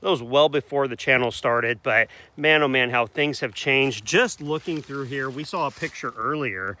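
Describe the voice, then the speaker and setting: A man's voice: mid-range voice, moderate pace, pushed and bright. A man, hosting a casual rural vlog and rambling in a folksy way with self-corrections. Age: 40s. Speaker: channel host. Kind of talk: casual outdoor vlog chat.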